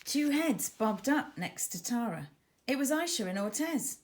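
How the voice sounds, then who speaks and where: A woman's voice: on the high side, sing-song, measured pace, a little hiss or hum. A woman narrating a children's story expressively, with dramatic emphasis as she reads. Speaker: author reading own children's book.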